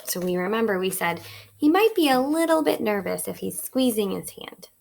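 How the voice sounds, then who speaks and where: A woman teacher reading a picture book aloud to children, expressive and instructive, pausing to ask questions. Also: medium pitch, gravelly, slight background noise. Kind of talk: read-aloud lesson, storytelling with questions to children.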